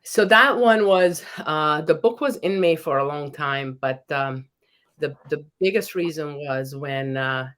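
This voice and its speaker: Woman, hungarian accent, middle-aged, low voice, earnest podcast guest, restarts sentences. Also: unhurried, fairly bright tone. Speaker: guest, professor and author. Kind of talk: podcast interview.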